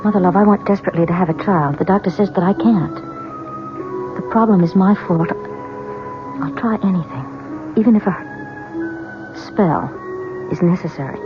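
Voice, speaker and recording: An actress playing a desperate, hesitant married woman in a radio drama, earnest and halting, with a pleading manner. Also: fairly low pitch, natural voice. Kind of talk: 1970s radio drama acting. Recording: dull lo-fi radio drama, music behind.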